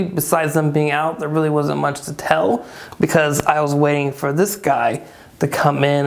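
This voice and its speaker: A man's voice: fairly high pitch, creaky. A man in his thirties hosting a casual woodworking shop update, upbeat and chatty, in a furnished room. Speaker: woodworking channel host.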